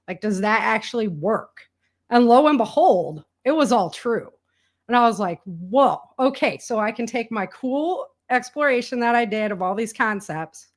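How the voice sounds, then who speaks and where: A woman with an American accent chatting casually as a guest, telling stories in a candid way with everyday slang. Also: mid-range voice. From rural United States. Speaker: guest, indie author and entrepreneur. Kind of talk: casual conversational storytelling.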